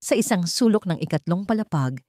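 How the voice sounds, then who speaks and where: Low-pitched woman narrating drama. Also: lively intonation, fairly quick, dark tone, dry recording, studio quality. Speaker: storyteller. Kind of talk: scripted dramatic story narration.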